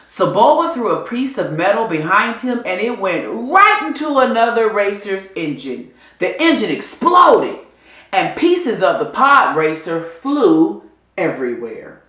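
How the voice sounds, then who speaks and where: Expressive woman reading a story, roomy sound. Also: mid-range voice, a little slow. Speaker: storybook reader. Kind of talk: dramatic scripted children's story narration.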